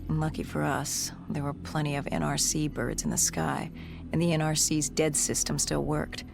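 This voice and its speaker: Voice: medium pitch, little pitch movement, moderate pace, creaky, close to an everyday voice. An actor's scripted game narration as a battle-worn cybernetic soldier, telling a grave story in a terse, dramatic way. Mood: grave, determined. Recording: dramatic music behind. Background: music.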